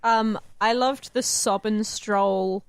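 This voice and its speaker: A woman's voice: mid-range voice, steady tone, slow pace, smooth, no echo. A woman chatting casually as a podcast co-host, telling things in an informal, colloquial way. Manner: informal, frequent "like", sweary. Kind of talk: casual chat, anecdotes.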